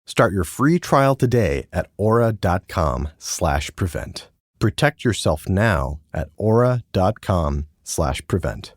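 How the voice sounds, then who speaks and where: A man's voice: low-pitched, sing-song, slow, dry recording. A man reading scripted advertisement narration as an announcer.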